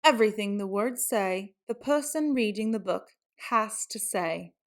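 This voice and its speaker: A woman's voice: medium pitch, lively intonation, a little slow. A woman reading a children's book aloud in a theatrical storytelling style, with exaggerated exclamations.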